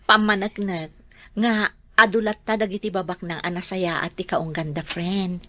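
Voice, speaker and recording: A woman's voice: on the low side, steady tone, moderate pace, sharp tone, no echo. A woman playing a polite, deferential housemaid and devoted mother in a radio drama, respectful and a little hesitant. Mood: friendly, confiding. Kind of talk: radio drama acting in dialogue.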